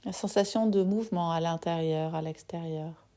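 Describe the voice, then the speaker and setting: A soft-spoken woman guiding a yoga nidra relaxation in a soothing manner, leaving long pauses between phrases. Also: lowish voice. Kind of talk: guided meditation.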